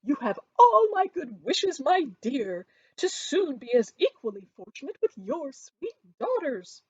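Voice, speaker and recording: A woman's voice: high voice, big pitch swings, unhurried, a little mellow, no room sound, dull audio, voice pushed up. A woman voicing a gushing, boastful middle-aged mother in a Regency storybook, effusive and exclaiming, with stretched, emphatic words.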